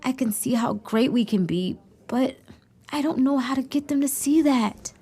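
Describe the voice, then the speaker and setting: A woman's voice: sits high, normal speed, mellow, husky. A woman playing an earnest, self-doubting teenage daughter in naturalistic audio drama dialogue.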